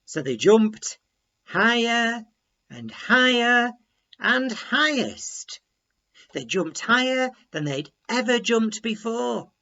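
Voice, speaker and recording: A man reading a children's story aloud in a deliberate, expressive storytelling manner. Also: very high-pitched, lo-fi sound.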